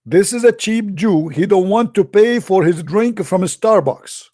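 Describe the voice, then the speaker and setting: A man's voice: high-pitched, expressive, a little slow, dark tone, no echo, clean studio sound. A man hosting a live call-in show, speaking in an emphatic, sarcastic, preaching way.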